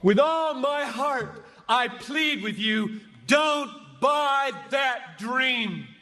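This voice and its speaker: A man's voice: extremely high pitch, animated, drawn-out words, bright, forceful tone. A man preaching an impassioned sermon, pleading and emphatic, hammering phrases through dramatic repetition. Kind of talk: impassioned sermon to a large gathering. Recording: echoey large hall with long reverb.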